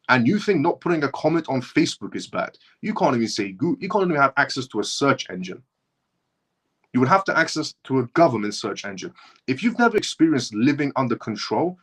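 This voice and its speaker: A man from Iran hosting a livestream, giving an impassioned opinion monologue that hammers points through repetition and restarts mid-phrase. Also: medium pitch. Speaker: livestream host.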